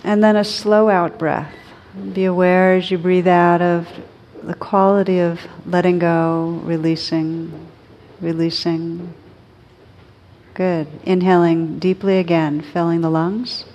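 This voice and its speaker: A woman teaching a guided meditation in a gentle, soothing, unhurried manner with long pauses between phrases. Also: on the low side, little pitch movement, lo-fi sound.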